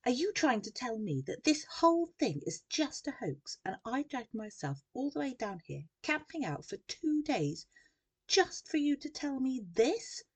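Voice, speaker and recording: A woman voicing a pushy, snappy female TV news reporter in a storybook, with a forceful delivery. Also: mid-range voice, animated, unhurried. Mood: angry. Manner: forceful, over-bright on-air delivery.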